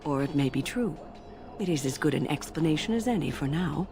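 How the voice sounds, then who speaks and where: A woman playing a wise, composed older mage in a fantasy game, explaining things in a measured, teacherly way. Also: deep voice, lively intonation, vocal fry. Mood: composed, instructive. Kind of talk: video game dialogue. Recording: loud game music behind the voice.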